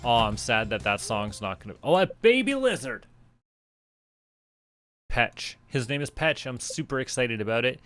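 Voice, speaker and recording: A man's voice: medium pitch, very animated, moderate pace, pauses often, fairly bright tone. A man from Canada chatting casually as a game streamer, thinking aloud in a playful way. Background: music.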